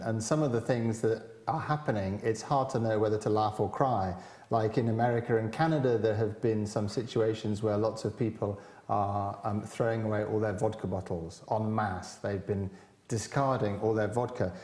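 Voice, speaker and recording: Man talking in an echoey hall. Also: medium pitch. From Britain. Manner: thoughtful, animated with hand gestures. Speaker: cellist guest. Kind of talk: panel conversation. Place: large hall with high windows.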